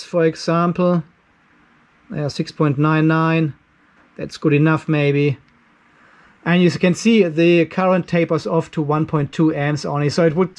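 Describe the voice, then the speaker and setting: A man talks through a repair job in his garage workshop and thinks out loud as he goes. His voice sits a little high for a man. The handheld camera is held close to him. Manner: explains step by step while working, thinking aloud. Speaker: channel host. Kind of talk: hands-on workshop demo. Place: cluttered garage workshop with a metal roof.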